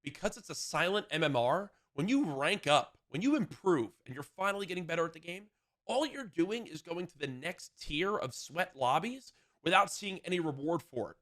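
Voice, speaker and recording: A man's voice: a little high, animated, moderate pace, fairly bright tone. A man arguing insistently in streamer commentary. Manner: insistent, argumentative.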